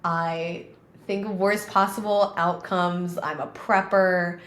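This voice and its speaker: A woman's voice: fairly low pitch, fairly even intonation, takes it slowly, a bit bright. A woman in her thirties, co-hosting a podcast, telling stories in a chatty, casual way with many fillers. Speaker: podcast co-host. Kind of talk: casual conversation. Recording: roomy with faint background noise.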